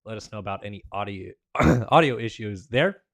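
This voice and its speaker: A man's voice: fairly low pitch, animated, a little fast, a bit bright, no echo. A man doing play-by-play commentary for an esports race, his voice still recovering after being lost, in an upbeat announcer manner. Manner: upbeat announcer, reading sponsors and plugs.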